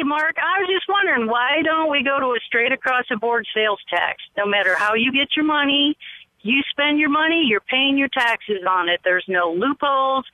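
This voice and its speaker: A man calling in to a radio show, speaking casually and plainly. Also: very high-pitched. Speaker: caller. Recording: tinny phone line.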